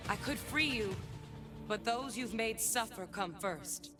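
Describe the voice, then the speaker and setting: A woman's voice: a little high, a little slow, lightly acted. Woman doing a cursed sentinel game heroine, dry n sarcastic, defiant, battle worn, music behind. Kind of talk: dramatic game voice lines.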